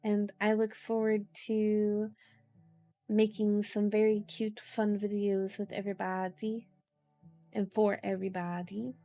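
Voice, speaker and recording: A woman streamer talks in a sleepy, cutesy way at a very unhurried pace. She rambles casually to her viewers in an ASMR style, with playful little phrasings. Music plays behind her voice. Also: medium pitch, completely flat delivery, mellow. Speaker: streamer hosting an ASMR book reading.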